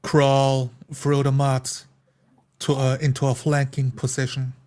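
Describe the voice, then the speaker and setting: A man's voice: mid-range voice, completely flat delivery, a little slow, a little mellow. A man speaking in first person as a player in a tabletop roleplaying game.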